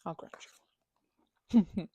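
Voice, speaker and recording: A woman's voice: very slow, mellow, lo-fi sound. A woman performing a one-sided ASMR roleplay as a chatty, affectionate housemate, talking casually in a playful, teasing, stammering way. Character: chatty affectionate female housemate recovering from migraine.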